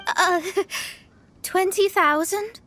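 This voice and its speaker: Proud young stargazing scholar in an anime game: high voice, pompous formal phrasing, sure of herself. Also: female voice, drawn-out words, bright, forceful tone, a little hiss or hum, full character voice. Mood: flustered. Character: proud, formal young female astrologist, haughty and self-assured.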